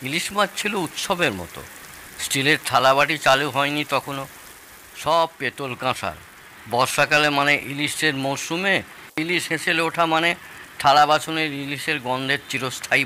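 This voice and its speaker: A man's voice: fairly high pitch, lively intonation, moderate pace, bright, forceful tone, slight background noise. A man playing a genial, nostalgic older father-in-law who loves telling stories in an audio drama. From Bangladesh. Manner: expansive, nostalgic storytelling. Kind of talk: Bengali audio drama acting.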